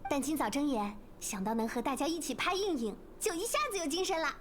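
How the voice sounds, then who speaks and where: A woman playing a bubbly, excitable young woman in an anime game, telling things in an animated, cheerful way. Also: high voice, fast talker, a little hiss or hum. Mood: wondering, eager. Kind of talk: scripted game dialogue in Mandarin.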